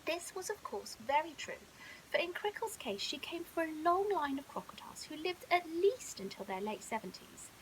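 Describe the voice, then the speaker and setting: A woman's voice: sits high, big pitch swings, normal speed, low-quality recording. A woman narrating a children's bedtime story expressively, like a primary school teacher reading aloud. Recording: hissy background noise.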